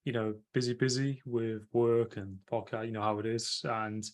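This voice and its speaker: Host: man, mid-pitched voice, loose and halting, lots of filler. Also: level tone. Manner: rambling, halting, full of "you know". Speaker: podcast host. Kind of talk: casual interview talk.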